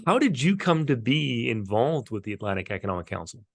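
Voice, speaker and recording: A man's voice: mid-range voice, big pitch swings, brisk, gravelly, no echo, clean studio sound. A man who is a podcast host and professor, asking questions in a friendly, hesitant way with repeated words. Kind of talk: casual podcast interview.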